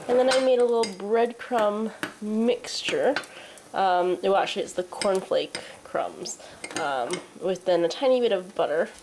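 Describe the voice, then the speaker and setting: Stuffed-up twenty-something woman rambling at her camera in the kitchen, laughs a lot, noisy hiss behind her. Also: fairly high pitch. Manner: chatty, rambling, laughs often. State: congested from a cold. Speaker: vlogger. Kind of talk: casual food diary vlog. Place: home kitchen and living room. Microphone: handheld camera at arm's length.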